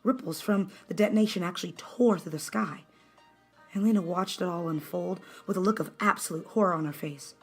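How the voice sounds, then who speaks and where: A woman narrates a scripted story in a dramatic style. The voice is a little on the low side. Music runs quietly underneath the reading. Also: brisk, slightly rough. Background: background music.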